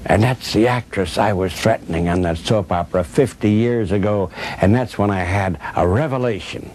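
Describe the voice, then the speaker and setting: An older man who once acted on radio, telling an anecdote in a wry, theatrical way with deliberate phrasing. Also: a little mellow. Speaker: former radio actor, interviewee. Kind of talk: anecdotal storytelling. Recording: clearly noisy recording with low rumble.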